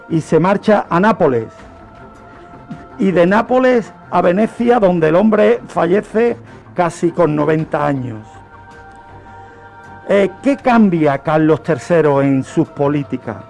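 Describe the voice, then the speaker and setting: A man's voice: sits high, creaky, darkish tone. A man presenting a history segment on the radio, telling it like an emphatic lecture full of rhetorical questions and repetition.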